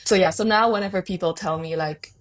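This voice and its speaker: A woman's voice: fairly low pitch, fast talker. A young woman from Vietnam, a consultant, talking casually in an interview guest seat, chatty, with many fillers and self-corrections.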